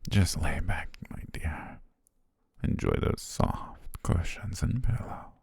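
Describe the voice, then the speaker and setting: A man performs an obsessive, doting butler in an intimate ASMR romance. His voice is dark, deep and very husky, often sinking toward a whisper. He coaxes tenderly with lingering pauses and a soft menace, close on the mic. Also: animated, no echo, exaggerated voice. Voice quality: breathy, husky, gravelly, often near whisper. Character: obsessive, doting male butler, softly menacing captor-fiancé. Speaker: ASMR roleplay voice actor. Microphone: close, dry studio microphone.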